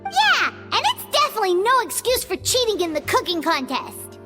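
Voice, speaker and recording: A woman voicing a small, excitable girl companion who is blunt and indignant, in game dialogue. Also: very high-pitched, moderate pace, full character voice. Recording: game audio over loud music.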